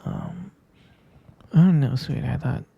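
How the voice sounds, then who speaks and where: Gentle half-vampire boyfriend, performed by a man, ASMR roleplay. Heavy vocal fry, drowsy halting whispers, unhurried and soothing. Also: mid-range voice, dry recording, lo-fi sound, heavily acted. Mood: sleepy, explaining. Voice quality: breathy, husky whisper, gravelly fry.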